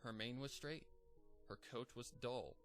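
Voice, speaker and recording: A man's voice: medium pitch, a little slow, a bit bright. Man narrating a story over faint music. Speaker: fanfic narrator. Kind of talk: storybook reading.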